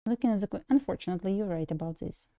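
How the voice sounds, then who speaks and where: A young woman from Belarus with a Russian accent, a native Russian speaker, hosting a casual trading livestream and chatting to viewers with frequent laughs and halting restarts. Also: medium pitch, warm tone.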